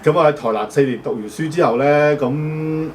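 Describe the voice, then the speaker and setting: Relaxed Hong Kong Cantonese-speaking host. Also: male voice, on the high side, normal speed. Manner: relaxed, conversational, strings questions with fillers. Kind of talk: casual interview questions. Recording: distant mic with faint background noise.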